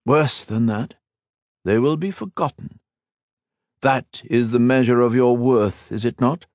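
A man's voice: medium pitch, a little slow, a little mellow, no echo, studio quality, pitched above the performer's own voice, lightly acted. A man voicing Shinzan, an arrogant eternal being. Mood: disdainful. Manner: haughty, lofty, lecturing. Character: ancient arrogant male cosmic being, cold and contemptuous.

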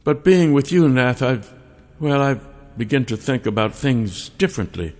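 A man's voice: mid-range voice, lively intonation, a little mellow, long reverb tail, pitched above the performer's own voice, lightly acted. A male narrator voicing an ancient female dragon in the form of a woman, coaxing and silky. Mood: seductive. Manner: silky, coaxing, slow and drawn out. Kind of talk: audiobook character voice.

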